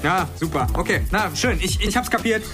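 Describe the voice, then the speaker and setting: A man's voice: sits high, expressive, brisk, over music. An actor playing a cocky, foul-mouthed, hot-tempered male porn star in a brash, slangy way, in a radio crime comedy. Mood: bossy, cocky.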